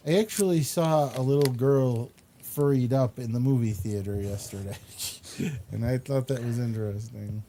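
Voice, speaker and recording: A man hosting a talk show in casual chat, with drawn-out, emphatic repetition. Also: medium pitch, mellow. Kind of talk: casual chat, commenting on pictures. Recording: clear background noise.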